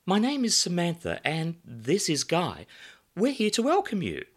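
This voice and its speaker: A man's voice: sits high, huge pitch swings, unhurried, pitched above the performer's own voice, exaggerated voice. A man voicing a whimsical blonde bombshell hostess from a novel, airy, theatrical and welcoming.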